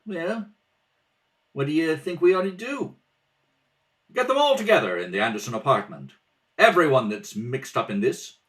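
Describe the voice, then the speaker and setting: Animated man reading a radio play. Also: a little high, moderate pace, pauses often. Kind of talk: radio play rehearsal read-through, reading several parts. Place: at home, at a kitchen table.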